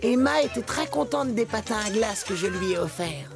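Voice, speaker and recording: Cartoon penguin kid: excitable, exclamatory, creaky edge, music underneath. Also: female voice, mid-range voice, normal speed. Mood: chirpy narrating. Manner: exclamatory, playful. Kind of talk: children's cartoon dialogue and letter narration.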